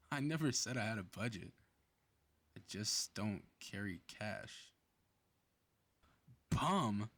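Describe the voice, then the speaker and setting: A man playing a cocky, playful superhero in a flirty ASMR roleplay audio drama, with casual teasing banter. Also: medium pitch.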